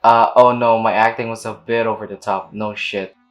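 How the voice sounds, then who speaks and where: A woman's voice: very deep voice, fairly even intonation, moderate pace. A woman streaming a game, reacting in casual slangy commentary and reading dialogue aloud. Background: faint game music.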